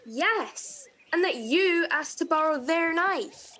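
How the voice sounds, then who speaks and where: An earnest field reporter in a mock news comedy, acted by a woman. She has a high voice and a slow, measured pace, polite but probing. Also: animated, pushed and bright, heavily acted. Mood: suspicious. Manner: polite, inquisitive.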